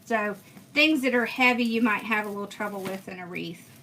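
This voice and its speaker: A woman's voice: mid-range voice, fairly quick, noisy background. A woman hosting a craft livestream, chatting casually and answering viewer comments as she works, often laughing. She was born in Pennsylvania and raised in Georgia. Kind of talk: casual live-stream chat while crafting.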